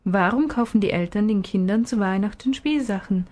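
A woman voicing a patient father who questions and explains gently in a storybook reading. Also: mid-range voice, normal speed, close to an everyday voice. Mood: questioning. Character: patient, gently probing father of a small boy.

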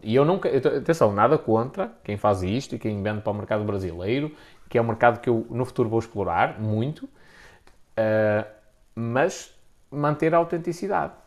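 A man with a European Portuguese accent giving informal, opinionated advice in a blunt, slangy, emphatic way, often repeating himself for emphasis. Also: mid-range voice. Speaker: content creator giving business advice. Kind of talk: informal opinionated talk to an audience.

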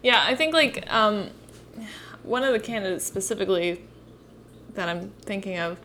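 A woman talks politics in a casual, agreeable way, dropping fillers as she goes. Her pitch is middling, and a faint hum of background noise sits under her voice. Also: moderate pace, pushed and bright. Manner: conversational, agreeable, many fillers.

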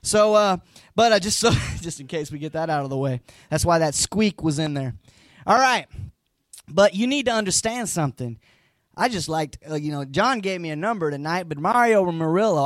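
A hoarse man preaches to his congregation in a high, lively voice that swings widely in pitch. He mixes folksy asides with emphatic, near-shouted lines. The sound is dry and close. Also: no room sound. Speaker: pastor. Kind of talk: live sermon.

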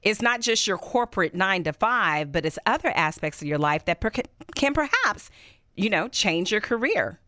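A woman's voice: mid-range voice, very animated, normal speed, bright, forceful tone, dry recording, studio quality. A woman hosting an interview, enthusiastic and affirming as she asks her guest questions. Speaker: interview host. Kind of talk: conversational interview.

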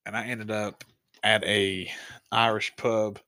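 A man's voice: lowish voice, slow pace, dry recording. A man hosting a casual chat, telling a story with lots of laughter. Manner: storytelling, laughs often, lively. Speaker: host.

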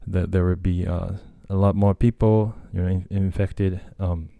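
Low-voiced Japanese man, casual ramble. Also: flat delivery, moderate pace, gravelly, dry recording, phone-quality sound. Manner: rambling, hesitant, many fillers and restarts. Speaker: podcast host and language tutor. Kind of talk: casual solo talk.

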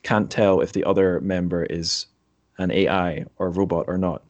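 Low-pitched man hosting a podcast. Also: fairly even intonation, normal speed, a little mellow, highs cut off. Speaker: podcast host. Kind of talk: casual interview chat about books.

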